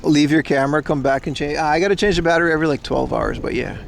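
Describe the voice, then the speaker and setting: A man's voice: fairly high pitch, quick pace, vocal fry. A man livestreaming outdoors by a railway platform, chatting casually with viewers and teasing them in mock insults. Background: faint trains and city traffic.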